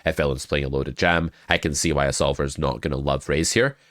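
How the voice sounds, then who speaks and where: A young man with a cold talks through poker hands in a loose, joking way, now and then swearing. His voice sits extremely low and sounds stuffed up. The recording is close and dry, made at a webcam desk setup. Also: fairly even intonation, fast talker, fairly bright tone, dry recording. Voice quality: very deep voice. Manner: chatty, joking, swears casually. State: congested with a cold. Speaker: poker coach. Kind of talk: casual poker hand review.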